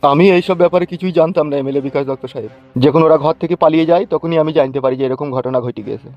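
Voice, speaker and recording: A man's voice: on the high side, steady tone, very fast, lo-fi sound, faint music behind, close to an everyday voice. A man voicing an aggrieved middle-aged village father in a comic cartoon, formal and earnest, with a West Bengal accent. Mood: pleading.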